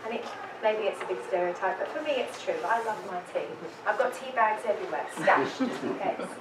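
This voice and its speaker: A woman's voice: mid-range voice, expressive, a little fast, background noise. A woman with a London English accent, moderating a panel and chatting with the audience, asking them questions. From England. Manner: chatty, engaging, asks the crowd questions. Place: event room with audience. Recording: roomy hall, voice from some distance.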